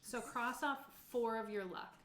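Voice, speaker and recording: A woman's voice: a little high, unhurried, fairly bright tone, a little hiss or hum. A woman casually explaining game rules as game master, in an easygoing, apologetic way. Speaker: game master running a tabletop roleplaying game. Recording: roomy, echoing room sound.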